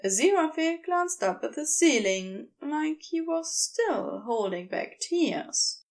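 A woman's voice: high voice, very animated, takes it slowly. A woman narrating scripted fiction as a storyteller.

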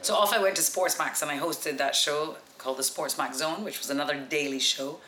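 A woman in her forties with a deep voice, telling stories as an interview guest in a confident, practised broadcaster's way. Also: fairly quick, bright, forceful tone. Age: 40s. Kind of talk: relaxed storytelling interview. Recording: echoey room with music behind.

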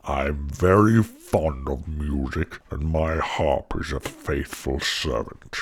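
A man voicing a huge, greedy storybook giant who commands in a booming, drawn-out roar. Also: husky, exaggerated voice. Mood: gruff command.

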